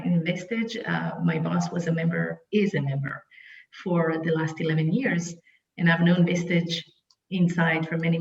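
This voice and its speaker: A woman introducing herself in a friendly way on a video call, with many ums and restarts. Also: fairly low pitch. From Israel. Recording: roomy, dull call audio.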